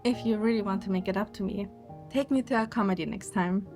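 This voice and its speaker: A woman's voice: medium pitch, quick pace, a little mellow, soft background music, lightly acted. A woman acting a playful, affectionate and wistful young woman in love in a romantic audio drama.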